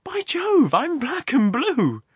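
A man's voice: mid-range voice, sing-song, normal speed, fairly bright tone, breathy edge, no room sound, studio quality, pitched above the performer's own voice, full character voice. A man voicing an uneasy, reluctant man in a storybook, speaking with strained, nervous politeness. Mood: strained, protesting. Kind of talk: dramatized storybook narration.

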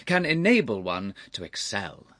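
A man voicing an affable, impudent young gentleman in a storybook, unflappable, courteous and easily conversational. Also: fairly low pitch, big pitch swings, normal speed, dry recording. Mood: deferential, cheeky. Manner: smooth, courteous, easy conversational cheek. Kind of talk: audiobook character voice.

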